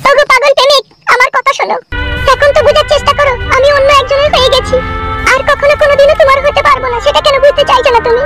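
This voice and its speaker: A woman's voice: extremely high pitch, level tone, racing, loud music behind the voice. A woman voicing a tender but firm young married woman in a melodramatic cartoon, pleading earnestly. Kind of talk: melodramatic comedy cartoon dubbing.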